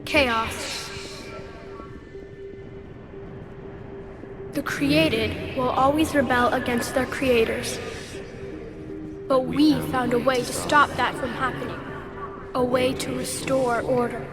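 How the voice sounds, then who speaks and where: A woman playing an ancient, calm and superior machine intelligence in a video game, speaking in a measured, formal way. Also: high voice. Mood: calm, cryptic. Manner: measured, formal, detached, didactic. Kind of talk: video game cutscene acting. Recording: loud music behind the dialogue. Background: loud game score.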